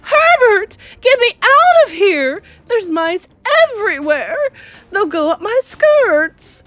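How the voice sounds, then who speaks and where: A hysterical wife and mother in a children's story. She shrieks in a high, shrill voice, frantic with fear and panic. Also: big pitch swings, slow, a bit bright, no echo, exaggerated voice. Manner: screaming, frantic.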